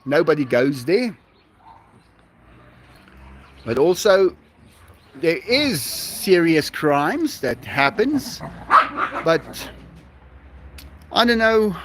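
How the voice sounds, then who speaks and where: Chatty South African man, high and lively. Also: slight background noise. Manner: chatty, laughs often, halting restarts. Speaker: livestream host. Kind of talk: casual live-stream chat with viewers.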